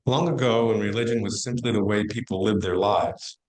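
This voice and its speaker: A man's voice: fairly low pitch, fairly quick, lightly acted, low-quality recording. A man playing the formal narrator of an amateur stage play, reading expository lines with emphatic phrasing. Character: formal male narrator moderating guests. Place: church hall, live performance.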